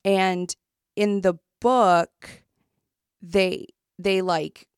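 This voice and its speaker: A woman's voice: medium pitch, fairly even intonation, drawn-out words, clear, resonant tone, no room sound, studio quality. A woman co-hosting a casual book podcast, retelling a true story with lots of fillers and restarts. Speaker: podcast co-host.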